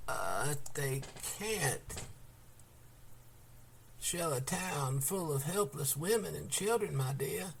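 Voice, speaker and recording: A man voicing a sweet, gentle old grandmother in an audiobook, speaking in soft, subdued tones. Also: on the high side, expressive, slow, vocal fry, long pauses, slight background noise, voice pushed up, exaggerated voice. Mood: gentle calm. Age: older.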